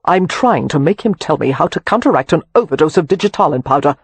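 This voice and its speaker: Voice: mid-range voice, animated, fast, slightly rough, no room sound. A narrator voicing a hot-tempered, sardonic young nobleman in an audiobook. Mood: furious. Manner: furious, raised voice. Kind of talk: audiobook character voice.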